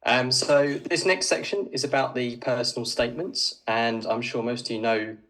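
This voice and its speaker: A man's voice: medium pitch, steady tone, moderate pace, a bit bright, low-quality recording. A man, a doctor with a cold and a slightly snuffly voice, presenting to university applicants in a casual online talk full of fillers.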